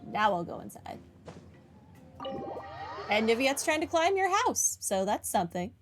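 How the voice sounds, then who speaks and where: A woman's voice: a little high, very animated, a little slow, faint music behind. A woman game streamer giving casual, wry live commentary on her playthrough. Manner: chatty, wry.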